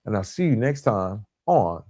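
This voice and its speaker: A man hosting a motivational podcast, speaking earnestly and emphatically in short, deliberate phrases with repetition. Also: lowish voice, big pitch swings, warm tone, a little hiss or hum. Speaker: podcast host. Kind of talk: motivational self-improvement podcast monologue.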